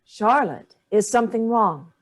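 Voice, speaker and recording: A woman's voice: on the high side, lively intonation, unhurried. A middle-aged woman playing a sardonic, wounded older mother in a staged play reading, with clipped, cutting delivery. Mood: tense, probing.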